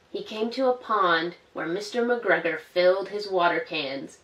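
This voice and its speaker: A woman librarian reads a storybook aloud for an online story time, gentle and expressive. She stops now and then to ask the children questions. The room is roomy and echoey, and she sits a little back from the mic. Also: medium pitch, recorded from a distance. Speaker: school librarian. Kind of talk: reading a picture book aloud to children.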